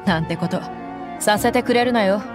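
A woman's voice: mid-range voice, moderate pace, lightly acted. A woman voicing a blunt tough mercenary woman. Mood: stern. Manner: rough commanding, blunt threats. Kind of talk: Japanese game dub. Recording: game audio with loud music behind.

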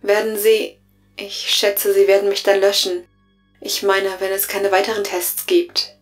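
Woman as weary artificial intelligence, female, resigned, halting, narrow intonation, audio drama, soft music bed. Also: medium pitch, completely flat delivery, close to an everyday voice.

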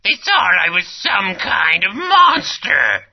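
A gravelly mutated creature guardian cries out her pleas slowly. Her pitch swings wildly, her voice husky and fried. The recording is dull and lo-fi. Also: huge pitch swings, heavily acted. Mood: bitter. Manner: gravelly, wailing outbursts. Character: trapped old female creature guardian, gravelly. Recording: muffled lo-fi audio.

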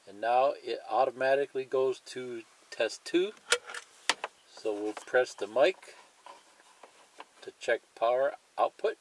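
A man's voice: mid-range voice, slow pace, pauses often, slight background noise. A man presenting a hands-on tutorial in a plain, methodical way, explaining each step and repeating it.

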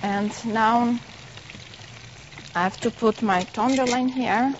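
A middle-aged woman cooks live in a TV studio kitchen, speaking slowly and stopping to find her words. The broadcast sound is dull and muffled, with a faint hiss underneath. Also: a little high. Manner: halting, searching for words while cooking. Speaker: restaurant chef. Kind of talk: live TV cooking demonstration.

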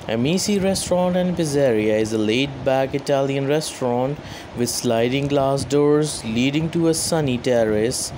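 A man narrating a scripted top-ten countdown in an even, measured reading voice. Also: mid-range voice, background noise. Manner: even, measured reading with place names mispronounced.

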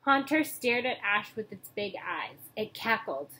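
A young woman reading a children's book aloud in a storytelling style, acting out dialogue and pausing to point out pictures. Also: medium pitch, expressive, bright, forceful tone. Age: young adult. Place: small room at home, indoors. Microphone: webcam or laptop mic at arm's length. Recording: noisy home webcam recording.

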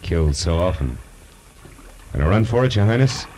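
An American actor playing a tough, wry private detective in 1940s radio noir, clipped and sardonic. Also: male voice, deep voice, sing-song, moderate pace, darkish tone, a little hiss or hum, poor audio. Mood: sardonic, commanding. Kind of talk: 1940s radio noir acting and first-person narration. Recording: old radio broadcast.

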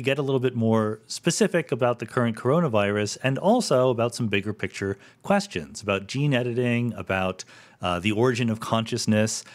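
Male interview host, middle-aged, lower voice, eager and inquisitive. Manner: curious, enthusiastic, quick asides. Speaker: podcast host. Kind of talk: conversational interview.

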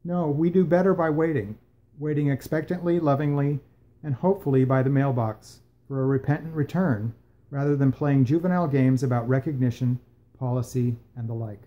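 A man in his sixties reading a reflective piece aloud for a worship service, with measured, expressive phrasing and deliberate pauses. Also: mid-range voice, highs cut off. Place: small room at home, indoors.

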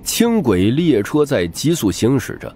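A man's voice: mid-range voice, huge pitch swings, measured pace, dark tone, slight background noise. A man narrating a novel as scripted audiobook storytelling, with a dramatic delivery.

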